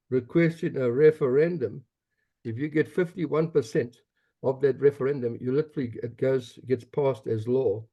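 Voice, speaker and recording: A man from South Africa with a South African accent, speaking up as an attendee in an online meeting, earnest, with pauses and restarts. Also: mid-range voice, muffled recording. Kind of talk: comment during online meeting.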